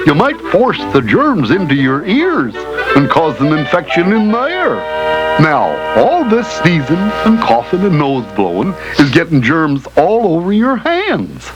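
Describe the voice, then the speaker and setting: Animated, folksy cartoon teacher. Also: male voice, a little high, a little slow, highs cut off. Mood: earnest, advising. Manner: folksy, emphatic, instructive. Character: animated friendly male health teacher, folksy and instructive. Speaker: explainer guide. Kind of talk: educational cartoon dialogue. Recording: old 16mm film soundtrack, loud music.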